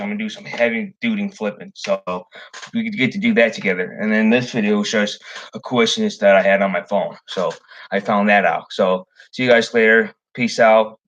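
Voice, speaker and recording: A man's voice: medium pitch, fairly bright tone, highs cut off. A young man from the Chicago area chatting casually on his fishing livestream, rambling with many ums and restarts. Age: young adult. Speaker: fishing channel host.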